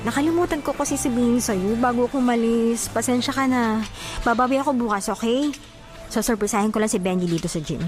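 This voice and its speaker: A woman's voice: fairly high pitch, quick pace, music in the background, lightly acted. An actress playing a jealous, hurt young woman in a Filipino radio drama, with emotional delivery and a Filipino accent. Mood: apologetic. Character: jealous, hurt young woman confiding about her boyfriend.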